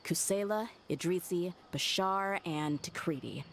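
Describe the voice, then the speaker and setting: A woman playing a serious, analytical young adult investigator in a video game, speaking in a measured, thoughtful, earnest way. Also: fairly low pitch, a little hiss or hum. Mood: focused, analytical.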